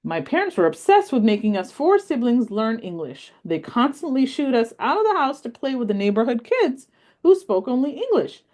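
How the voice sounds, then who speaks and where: A woman voicing a wise, patient old grandmother in a read-aloud story, gently telling her granddaughter about her life. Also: on the high side, big pitch swings, moderate pace, pitched above the performer's own voice. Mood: fond.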